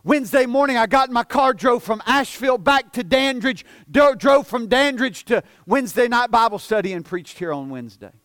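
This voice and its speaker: A man of forty preaching a sermon as pastor, earnest, often repeating and stumbling over words, dropping soft for emphasis. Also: extremely high pitch, animated, fairly quick, hardly any pauses, no room sound, studio quality. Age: 40s.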